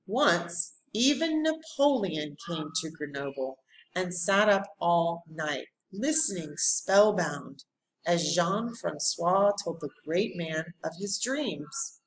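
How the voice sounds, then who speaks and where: A woman reading a storybook aloud like a teacher, with deliberate, dramatic phrasing and pauses. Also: fairly low pitch, expressive, slight background noise, poor audio. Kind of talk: expressive read-aloud of a children's history storybook.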